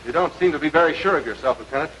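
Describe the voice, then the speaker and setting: An American actor playing a stern, exacting major with a brisk, authoritative manner in a 1940s military training film. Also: male voice, a little high, fairly bright tone, lightly acted. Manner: brisk, authoritative, clipped questioning. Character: stern, exacting army air force major instructing pilots. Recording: noisy old 1940s film soundtrack.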